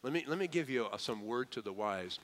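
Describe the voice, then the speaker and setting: A man preaching a sermon, lively and conversational, slowing down hard on key phrases and slipping into quick mock voices of doubters. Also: mid-range voice. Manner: lively preaching, mimics skeptics, slows for emphasis. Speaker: pastor.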